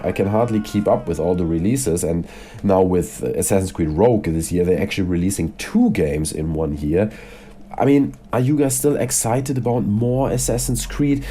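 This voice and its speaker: A man's voice: mid-range voice, mellow. Man with a cold, chatty and opinionated. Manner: chatty and opinionated, rants with frequent "you know" and "right?". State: has a cold, voice slightly congested. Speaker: gaming YouTuber. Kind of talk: casual opinion vlog.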